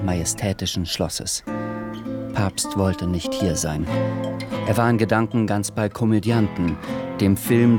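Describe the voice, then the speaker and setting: Male narrator over guitar music. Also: fairly low pitch. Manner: measured, literary delivery. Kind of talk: scripted narration. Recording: with guitar score.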